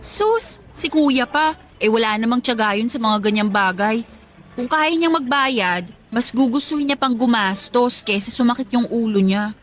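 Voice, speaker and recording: A woman's voice: a little high, lively intonation, normal speed, close to an everyday voice. A woman playing a curious, teasing young woman in a radio drama. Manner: inquisitive, teasing.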